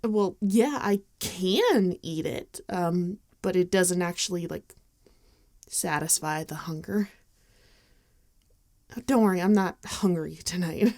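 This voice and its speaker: Woman acting a soft, slow-spoken apologetic vampire friend. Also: fairly low pitch, no room sound, clean studio sound. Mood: caring, reassuring. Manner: hesitant, gentle, halting with soft sighs. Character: apologetic, caring young female vampire, a close friend. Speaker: ASMR voice actor. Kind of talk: ASMR roleplay acting, intimate and conversational.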